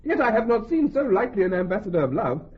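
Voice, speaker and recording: A flowery, ceremonious messenger in a radio play. His voice is high for a man and somewhat breathy. The sound is slightly dull. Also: big pitch swings, quick pace, exaggerated voice. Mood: admiring, eager. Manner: florid, ceremonious. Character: excitable servant messenger announcing a visitor with flourish. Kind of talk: classical Shakespearean radio acting.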